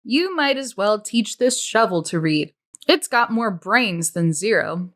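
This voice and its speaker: A woman's voice: mid-range voice, expressive, measured pace, fairly bright tone. A woman reader voicing a mocking, scornful male camp counselor who sneers with mock praise.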